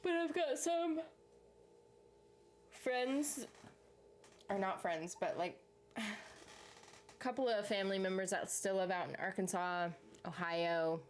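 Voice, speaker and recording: A woman's voice: medium pitch, slow pace, long pauses, a little hiss or hum. Woman, Southern US accent, YouTube host, chatty live stream banter. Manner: chatty, rambling, answers chat comments. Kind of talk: casual live chat with viewers.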